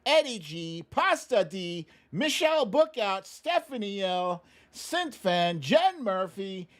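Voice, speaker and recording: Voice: mid-range voice, sing-song, slow pace. A livestream host chatting casually and reading out names in a playful, informal, self-deprecating way. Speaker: livestream host running a song-guessing game.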